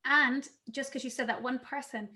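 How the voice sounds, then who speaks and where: A woman speaking casually on a video call panel, an animated storyteller who acts out the lines she quotes. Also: high-pitched, moderate pace.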